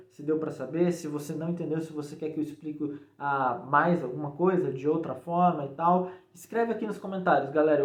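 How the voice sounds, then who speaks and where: A man with a Brazilian accent giving a casual, informal explanation to viewers, often checking in with short tags. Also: on the high side. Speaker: programming tutorial YouTuber. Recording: echoey untreated room.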